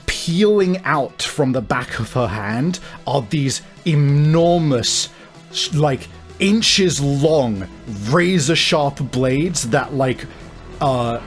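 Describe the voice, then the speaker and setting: A man improvising narration as the game master of a tabletop game, explaining things in halting, hedging phrases. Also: mid-range voice, expressive. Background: music.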